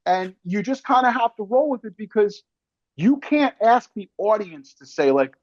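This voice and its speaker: A slightly lo-fi, close and dry recording of a man with a somewhat high voice hosting a casual film conversation. He talks emphatically and slangily, reaching for analogies as he makes his points. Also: sing-song, moderate pace, no echo. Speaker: podcast host and interviewer.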